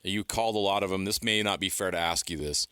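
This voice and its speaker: Male co-host, very low voice, casual interviewer, dry close-mic studio sound. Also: fast talker, sharp tone, clear, resonant tone, dry recording. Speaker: sports talk radio co-host.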